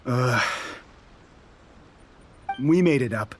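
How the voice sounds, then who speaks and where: An actor playing an embittered, defiant warrior captain in a video game, with an intense, dramatic delivery. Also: male voice, medium pitch, animated, extremely slow. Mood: confiding.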